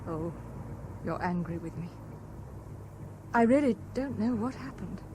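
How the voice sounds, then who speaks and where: Actress as a haunted young wife, expressive voice. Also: female voice, medium pitch, unhurried, lightly acted. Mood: subdued. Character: dreamy, haunted, well-bred young married Frenchwoman, fragile. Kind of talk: 1970s BBC radio drama acting. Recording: noisy old 1970s radio broadcast.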